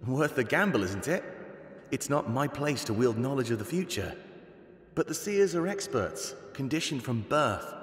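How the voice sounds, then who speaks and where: Man as echoey, animated beast rebel leader. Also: medium pitch, normal speed, natural voice. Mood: thoughtful. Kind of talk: video game voice acting. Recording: echoey cavernous reverb.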